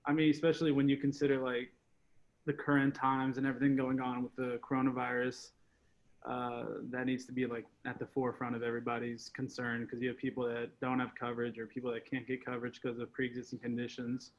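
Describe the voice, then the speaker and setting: A man's voice: mid-range voice, monotone, fast. A man in his twenties on a video call, talking casually with lots of fillers. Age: 20s. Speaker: focus group participant. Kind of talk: casual discussion. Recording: muffled video-call audio.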